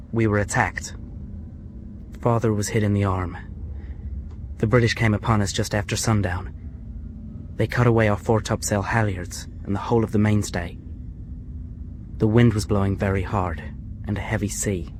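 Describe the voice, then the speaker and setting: A man's voice: fairly low pitch, close to an everyday voice. A man acting an earnest, stubborn seventeen-year-old colonial son going to war in a radio drama, speaking insistently. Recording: clearly noisy scene ambience.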